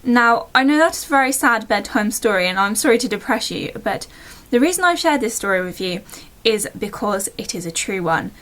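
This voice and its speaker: A woman's voice: a little high, expressive, a little fast, few pauses, a bit bright. A woman narrating a bedtime story in a playful, mock-solemn storybook manner.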